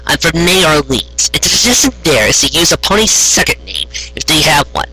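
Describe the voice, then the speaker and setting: A man's voice: on the high side, very animated, brisk, bright, forceful tone, breathy edge, voice pushed up, heavily acted, rough recording. A man voicing a grumpy, imperious young female unicorn magician in a haughty, insistent manner for a story reading.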